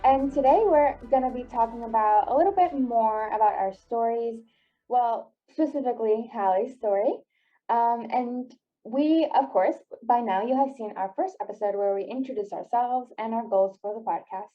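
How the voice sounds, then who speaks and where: A woman's voice: a little high, normal speed. Young woman host asking questions. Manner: friendly questioning with hesitant "um"s. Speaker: podcast host. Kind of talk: casual interview. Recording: dull lo-fi sound.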